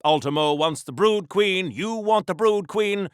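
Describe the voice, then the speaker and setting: A man's voice: sits high, measured pace, clear, resonant tone, no room sound, studio quality. An actor playing a bombastic patriotic 1940s American soldier superhero in a video game, theatrical and old-fashioned in his phrasing. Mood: affable, puzzled. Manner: theatrical, declamatory, quaint old-time phrasing.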